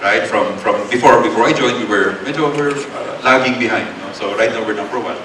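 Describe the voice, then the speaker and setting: A Filipino man gives a loose, chatty seminar talk, tossing in fillers and asking the room questions. His voice sits at an ordinary pitch and comes from well away from the microphone. The big echoey hall and steady background noise hang around every word. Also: brisk. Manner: code-switching, tag questions, filler-heavy. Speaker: guest speaker. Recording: echoey hall, distant mic, noisy.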